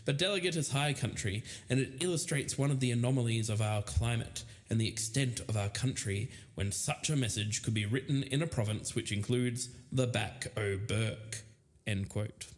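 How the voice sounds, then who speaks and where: A man's voice: medium pitch, normal speed. A man co-hosting a podcast, narrating accounts and reading out quotes. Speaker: podcast co-host.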